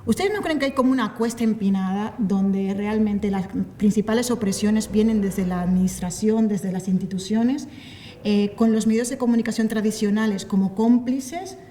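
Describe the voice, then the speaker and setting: A woman's voice: mid-range voice, normal speed, mellow. A woman speaking spontaneously from the audience, putting a question to a panel. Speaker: audience member asking a question. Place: audience in large indoor room. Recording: distant, noisy, echoey hall pickup.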